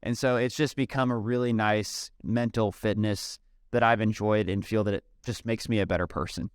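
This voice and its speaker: Casual guest type: man, thirties, low smooth voice, dry close sound, easygoing and chatty. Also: moderate pace, dry recording. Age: 30s. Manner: relaxed, chatty, frequent "um" and "dude". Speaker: podcast guest, software company CEO. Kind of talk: casual interview conversation.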